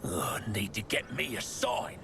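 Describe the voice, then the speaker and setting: An actor playing a grumbling arms trader in a video game, with a gravelly voice. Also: male voice, medium pitch, wildly swooping pitch, unhurried, over music, full character voice. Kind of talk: video game character dialogue.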